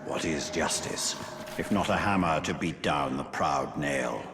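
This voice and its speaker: A man playing a menacing, imperious villain in a fantasy video game, slow and declamatory. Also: a bass voice. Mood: imperious menace. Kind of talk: video game character acting. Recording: long hall reverb, noisy background.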